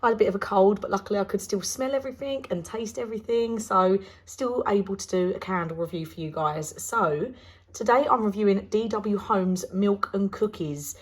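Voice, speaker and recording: British woman, slightly croaky, chatty. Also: medium pitch, a little fast. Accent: British English. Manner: chatty, rambling with many ums. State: slightly croaky after laryngitis. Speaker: candle reviewer. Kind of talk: casual product review.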